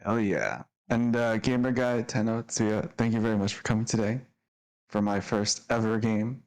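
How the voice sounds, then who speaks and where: A man hosting and narrating a tabletop roleplaying game. His voice sits a little low, and he talks in an upbeat, descriptive way, laughing easily with his players. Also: clean tone, rough recording. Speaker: dungeon master.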